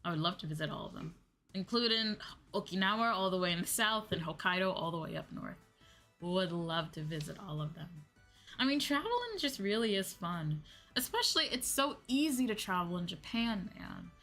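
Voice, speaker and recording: A woman's voice: mid-range voice, very animated, normal speed, a bit bright. An American woman chatting casually on a livestream in an informal, slangy, rambling way. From United States. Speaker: VTuber streamer, host. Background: background music.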